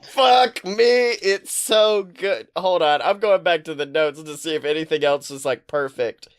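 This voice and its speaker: A man co-hosting a podcast, chatting casually in an animated, rambling, profane storytelling manner. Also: a little high, a little slow. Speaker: podcast co-host. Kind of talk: casual pop-culture chat.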